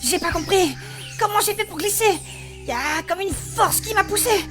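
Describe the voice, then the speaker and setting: A woman's voice: very high-pitched, lively intonation, moderate pace, over music. A woman playing an anxious but determined young adventuress in an audio drama, breathless and exclamatory. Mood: shaken. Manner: breathless, exclamatory, swearing under strain.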